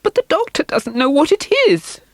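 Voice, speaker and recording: A woman reading as a frightened, loving mother who begs her child to change. The voice climbs high and pleads, swinging widely in pitch, in a dry close sound. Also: big pitch swings, normal speed, no echo. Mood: worried.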